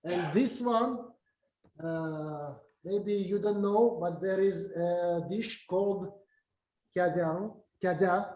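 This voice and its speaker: A man whose first language is Russian gives a halting academic lecture in English, with many fillers and restarts. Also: sits high, mellow, echoey room. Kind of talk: academic talk with slides.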